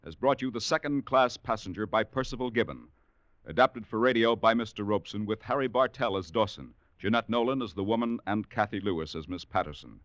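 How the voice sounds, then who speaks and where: A man's voice: lowish voice, expressive, brisk, fairly bright tone, no echo. A man announcing in a formal 1940s radio style, reading out scripted credits. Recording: dull, dry vintage radio recording.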